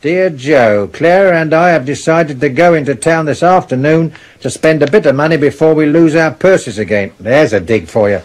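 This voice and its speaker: Guy playing a wry dad, bit high voiced, old 50s british radio comedy, teasing and dry. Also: normal speed, few pauses, close to an everyday voice. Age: middle-aged. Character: wry working-class family father, teasing, easygoing.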